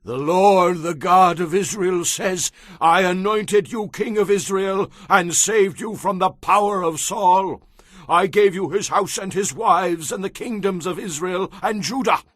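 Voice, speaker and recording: A man's voice: high-pitched, measured pace, a bit bright, dry recording, clean studio sound. An actor playing a solemn, principled man of ancient Israel in a dramatized audio Bible, earnest and declamatory. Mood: accusing.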